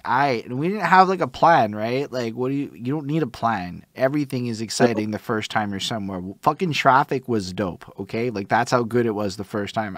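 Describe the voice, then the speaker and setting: A man's voice: medium pitch, no echo, clean studio sound. A man chatting casually on a podcast, telling stories in a rambling, slangy way with some swearing. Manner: rambling, slangy storytelling with profanity. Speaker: podcast speaker. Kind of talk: casual chat.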